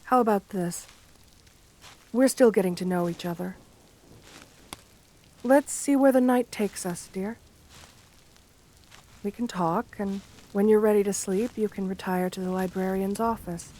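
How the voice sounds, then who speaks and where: A woman voicing a shy, lonely, romantic gargoyle girl in a gentle ASMR roleplay, speaking tenderly with formal, bookish wording. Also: mid-range voice, measured pace, pauses often, close to an everyday voice, rough recording. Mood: bashful, fond. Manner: tender, soft-spoken, formal old-fashioned wording.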